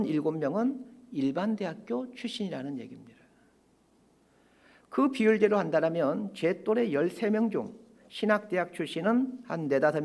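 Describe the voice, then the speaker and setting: A man in his fifties delivers a prepared, reflective sermon. His voice sits fairly high for a man and moves widely in pitch. He phrases things in a measured way, pausing and then stressing key words as a pastor does. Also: darkish tone. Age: 50s. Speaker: pastor preaching a Sunday sermon.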